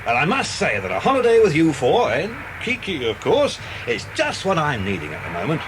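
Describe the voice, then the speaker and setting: An actor playing a genial, reassuring British detective and family friend in a children's audio drama. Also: male voice, huge pitch swings, a little fast. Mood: hearty, reassuring. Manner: hearty, avuncular. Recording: noisy cassette with vehicle rumble.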